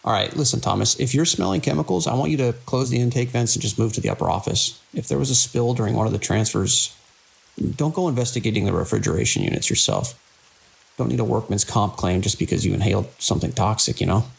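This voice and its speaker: Weary, authoritative male hospital director for audio drama, played by a man. Gravelly, expressive delivery. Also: mid-range voice, quick pace, full character voice, poor audio. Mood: reassuring, firm. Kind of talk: radio drama acting.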